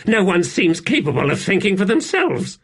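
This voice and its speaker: A man voicing a young emperor in an audiobook, imperious yet fragile and weary, with a halting, strained delivery. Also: sits high, sing-song, a bit bright, slightly rough, voice pushed up, full character voice. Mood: anxious, imperious. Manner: halting, strained, swinging between command and fragility. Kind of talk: audiobook character acting.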